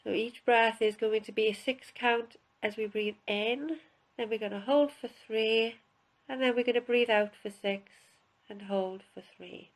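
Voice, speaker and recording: A woman instructor guiding a relaxation session in a calm, unhurried, instructional way with pauses. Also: on the high side, low-quality recording. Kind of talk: guided relaxation, spoken instruction.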